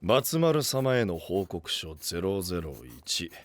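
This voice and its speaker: A man playing a gruff, ambitious knight boss with a soft heart, speaking in brash delinquent style in an anime voice drama. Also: deep voice, very animated, unhurried, no room sound. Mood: determined. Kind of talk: Japanese anime voice drama acting. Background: background music.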